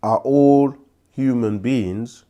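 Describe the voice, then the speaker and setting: A man hosting a live question-and-answer stream, speaking with a sore throat and a congested voice, deliberate and full of restarts. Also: mid-range voice, dark tone, lo-fi sound. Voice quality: slow, deliberate delivery with frequent restarts. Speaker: host answering viewer questions. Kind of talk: livestream Q&A teaching.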